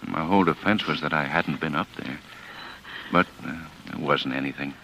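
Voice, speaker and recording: Resigned tender husband, halting, deep, bright-edged, 1940s radio drama, slightly noisy old recording. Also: male voice, exaggerated voice. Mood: tender, regretful. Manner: gentle, halting, fatalistic. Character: resigned, tender condemned husband, older than his wife.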